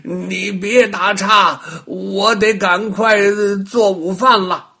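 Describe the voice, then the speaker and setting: A man voicing a greedy old witch woman who eats children, grumbling in a gruff voice. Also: high voice, fairly even intonation, slow pace, sharp tone, voice pushed up, exaggerated voice.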